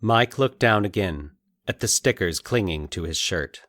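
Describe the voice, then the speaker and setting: A man's voice: low voice, animated, measured pace, fairly bright tone, no room sound, studio quality. A man narrating scripted dramatic fiction as the storyteller and host of a podcast.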